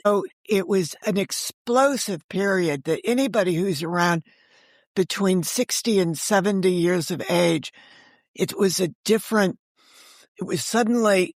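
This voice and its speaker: An American woman in her sixties telling stories conversationally as an interview guest, animated and emphatic, jumping between thoughts. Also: lowish voice, a little slow, no room sound. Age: 60s. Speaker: guest, author and audio drama creator.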